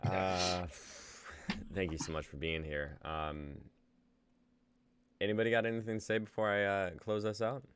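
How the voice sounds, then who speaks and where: A young man with a lowish voice closes out a live stream in loose, casual talk. He laughs often and trails off with fillers and restarts. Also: flat delivery, moderate pace, long pauses, a bit bright, a little hiss or hum. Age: young adult. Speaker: host of a reading stream. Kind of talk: casual stream outro chat.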